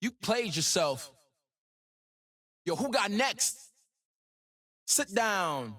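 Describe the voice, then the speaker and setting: Bright, high-voiced cocky young rapper. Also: male voice, very animated, drawn-out words, heavily acted. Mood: cocky taunting. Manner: swaggering, rhythmic, boastful. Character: cocky young gifted male rapper prodigy, playful, proud. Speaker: rapper voicing a game character. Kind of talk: rhymed, rap-like game voice lines.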